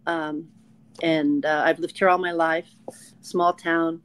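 Woman, 60s, low voice, plain and halting, small-town guest on a call. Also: level tone, clear, resonant tone. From Leavenworth, Washington. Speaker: panel guest. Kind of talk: casual self-introduction.

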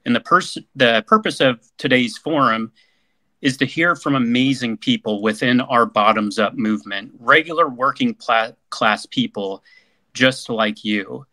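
A man's voice: medium pitch, a little slow, sharp tone, dry recording, poor audio. A man hosting an online panel forum over a video call, upbeat and heaping on repeated praise. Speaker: podcast host.

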